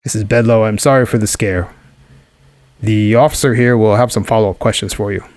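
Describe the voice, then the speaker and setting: An actor playing a skeptical police lieutenant in 1940s radio drama style, official and matter-of-fact. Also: male voice, on the low side, fairly even intonation, fairly quick, a little mellow, no echo, close to an everyday voice. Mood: formal.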